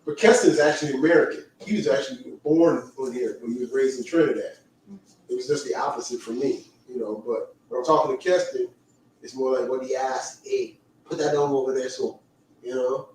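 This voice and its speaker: A man's voice: mid-range voice, a little mellow, far from the mic, a little hiss or hum. A man from the with a light lilt, chatting casually and teasing the others as he hosts a livestream. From Caribbean. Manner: teasing, talkative, quick asides. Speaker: livestream host. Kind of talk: casual chat. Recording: echoey room, away from mic.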